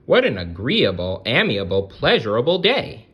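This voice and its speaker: A man's voice: medium pitch, wildly swooping pitch, moderate pace, muffled recording. A man voicing a small young cowardly spider in a storybook, wordy, formal and fretful. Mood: pleased, chatty. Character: small young scaredy spider, polite, big-worded, easily frightened.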